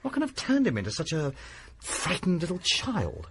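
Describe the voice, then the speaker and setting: A young actor playing an earnest, anxious nephew in a radio drama, urgent and sometimes sharp. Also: male voice, a little high, wildly swooping pitch, normal speed, a little hiss or hum. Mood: concerned.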